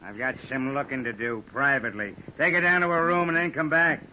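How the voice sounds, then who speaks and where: A very sharp, piercing voice on a dull old radio recording: a bossy American cop, a man. He is a gruff lieutenant, brusque and slangy in noir style. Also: medium pitch, steady tone, fairly quick, slight background noise.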